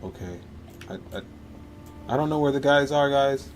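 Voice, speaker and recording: A man's voice: mid-range voice, lively intonation, moderate pace, slight background noise. An American man from Miami, chatting casually and easygoing as he gives live gaming commentary. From Miami, Florida, United States. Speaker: livestreamer. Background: music and game sounds.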